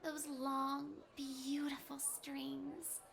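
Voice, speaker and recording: A woman's voice: sits high, completely flat delivery, extremely slow, gravelly, a crowd in the background, heavily acted. A woman voicing a lovesick, obsessive female animatronic in a cartoon, dreamy and possessive. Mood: lovesick scheming. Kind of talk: animated parody cutscene acting.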